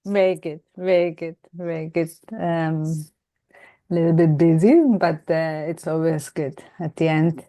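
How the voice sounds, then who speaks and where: A middle-aged woman from Venezuela hosting a podcast over a video call, talking in an unhurried, reflective and affirming way. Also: low-pitched, little pitch movement, dull audio. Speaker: podcast host. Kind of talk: casual conversation.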